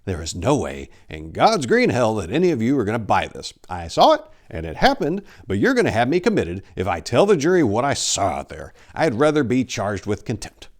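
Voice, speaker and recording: A man's voice: mid-range voice, wildly swooping pitch, fairly quick, few pauses. An exasperated male priest, played by a man reading a story, defiant and jaded. He speaks emphatically, in the manner of a dramatic storybook performance. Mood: defiant, upset.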